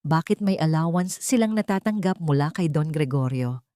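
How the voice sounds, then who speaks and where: A woman's voice: deep voice, animated, normal speed, mellow, no room sound. A woman reading a scripted first-person story in a dramatic narrating style.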